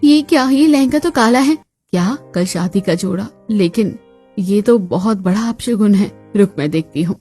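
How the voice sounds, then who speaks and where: A woman plays the women of a cartoon family drama, a young daughter and her chatty relatives. The delivery is expressive and dramatic, at an average pitch, with music running underneath. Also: normal speed, husky. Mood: anxious, dismayed. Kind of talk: Hindi cartoon story dialogue. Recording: music bed behind the voice.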